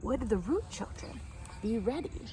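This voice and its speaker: A woman reading a children's picture book aloud in an expressive, animated storytime style. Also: on the high side, measured pace, background noise. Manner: animated, acts out the characters' lines. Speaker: storytime reader.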